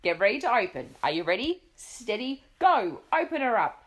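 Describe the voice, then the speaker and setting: A woman voicing a blustering, excitable old duke who bellows orders, in a lively storybook reading. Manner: booming, pompous, hurried shouts.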